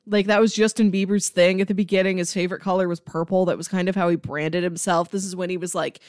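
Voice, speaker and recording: A woman talking casually as a podcast host, animated and peppering her sentences with "like". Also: on the low side, quick pace, no echo, clean studio sound. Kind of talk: casual chat about comics.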